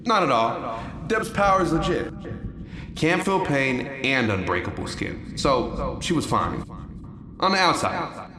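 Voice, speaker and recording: A man's voice: mid-range voice, very animated, a little slow, roomy sound. An actor playing a wry male coworker telling a story with dramatic flair.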